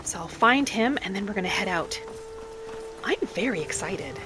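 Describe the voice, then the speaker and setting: A woman narrating a game roleplay in character as a gentle, hopeful young elf adventurer, softly musing aloud. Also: medium pitch, expressive, moderate pace. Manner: soft, musing, speaking thoughts aloud. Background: music.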